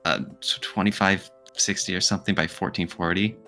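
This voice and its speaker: A man's voice: low-pitched, steady tone, a little fast, sharp tone, faint music behind. A man co-hosting a podcast in casual chat, talking through his opinions with examples. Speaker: podcast co-host.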